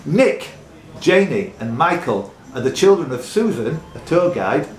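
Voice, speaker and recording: A man's voice: a little high, very animated, a little slow, a little hiss or hum. A man hosting a live pub quiz, reading out questions with jokey, teasing, chatty asides. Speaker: quiz host. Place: indoors in a pub. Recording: roomy echo, faint music behind.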